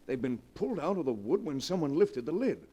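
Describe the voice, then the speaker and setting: An actor playing a commanding, matter-of-fact doctor in a 1940s radio drama. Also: male voice, on the high side, sing-song, fairly quick, dark tone, slightly rough. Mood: puzzled, insistent. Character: brisk, commanding male doctor. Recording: vintage 1940s radio broadcast.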